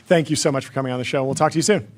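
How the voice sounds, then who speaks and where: A man hosting a talk show, quick with chummy interjections and jokes. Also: mid-range voice. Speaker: host. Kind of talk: casual chat.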